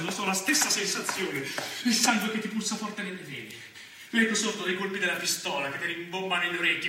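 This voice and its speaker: A man's voice: high voice, sharp tone, heavily acted. An actor playing a cocky, crude young Roman gunman in an intense, confessional stage monologue with a Roman accent. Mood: rising exhilaration. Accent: Roman Italian. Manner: intense, crude street talk with sudden swells. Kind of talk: stage monologue, confessional first-person storytelling. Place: theatre stage, recorded from a distance. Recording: roomy distant stage recording, faint noise.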